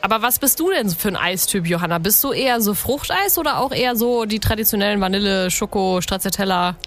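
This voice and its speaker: A woman's voice: mid-range voice, animated, fast talker, a bit bright. A woman hosting a radio show in a casual, chatty way, upbeat and lightly teasing. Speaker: radio host.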